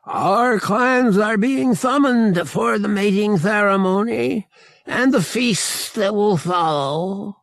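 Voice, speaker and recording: A man voicing a proud male feline alien hunter, speaking slowly and formally as a gracious host in an audiobook. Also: high-pitched, creaky, no echo, clean studio sound, voice pushed up, heavily acted. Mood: formal, welcoming.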